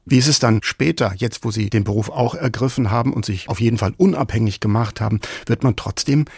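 A man's voice: lowish voice, fairly quick, creaky, a little mellow, husky, dry recording, studio quality. A man hosting a radio interview, asking questions in an inquisitive, polite and formal conversational way. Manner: inquisitive, addresses guest formally.

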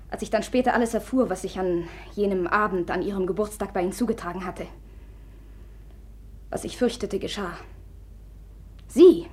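A woman's voice: fairly high pitch, a little mellow, close to an everyday voice. An actress playing a proud, agitated young noblewoman in a classic radio drama, speaking in a commanding, formal, accusatory way.

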